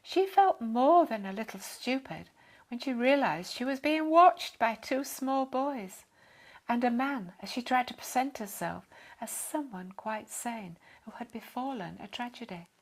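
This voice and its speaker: Female storyteller for children's fiction: fairly high pitch, animated, expressive scripted delivery. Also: a little slow. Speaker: story reader.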